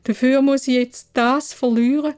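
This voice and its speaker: A woman voicing a tender, distraught young woman in love in a Swiss German fairy tale, pleading emotionally in a breathy voice that drops into whispers. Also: sits high, fairly even intonation, a little slow, darkish tone, voice pushed up. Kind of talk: storybook character voice.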